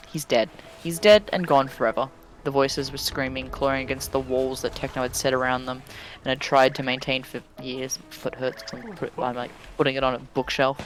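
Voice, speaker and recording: A man's voice: medium pitch, rough recording. A man doing a dramatic story reading over voice chat, dropping into casual, sweary asides with friends. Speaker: reader of a fan fiction story. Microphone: voice chat microphone.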